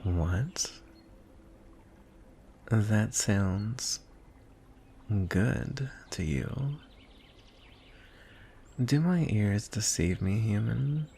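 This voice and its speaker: Lonely, courtly dragon suitor acted by a man, fantasy ASMR; hushed, lowish creaky voice over faint noise. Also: lively intonation, exaggerated voice. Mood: pleased, surprised. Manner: soft, hushed, breathy delivery with deliberate pauses. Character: lonely, courtly male dragon wooing a prince.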